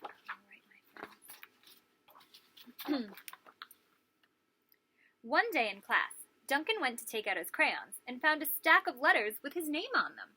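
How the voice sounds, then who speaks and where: High, bright, animated woman reading to children. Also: measured pace, pauses often, low-quality recording. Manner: asks young listeners questions. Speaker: pre-K teacher, story time host. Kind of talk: children's story time read-aloud.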